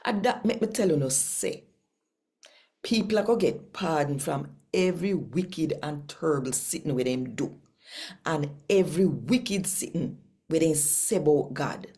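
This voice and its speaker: Low, unhurried Jamaican woman reading scripture. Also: expressive, warm tone. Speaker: host reading daily scripture. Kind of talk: expressive Bible reading in Patois with direct address to listeners.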